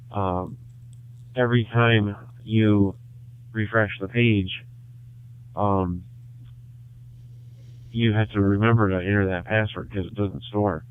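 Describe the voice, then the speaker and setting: A low-pitched man talks in an even, level tone, his voice smooth and clean despite coming through a tinny two-way radio. He pauses often and restarts his sentences while he explains things to the host. It sounds like a casual call-in on a ham radio channel. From Michigan, USA. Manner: halting, many fillers and restarts. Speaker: caller on a ham radio net. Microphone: handheld radio transmitter.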